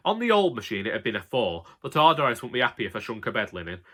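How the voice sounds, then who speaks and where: A man's voice: mid-range voice, big pitch swings, fast, a bit bright. A man with a Northern English accent narrating a comic first-person audiobook as a droll, grumbling, long-suffering husband.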